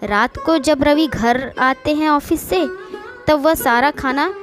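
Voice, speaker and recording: A woman's voice: high-pitched, slow. A woman reading a scripted moral story as its narrator. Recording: music behind the voice. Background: background music.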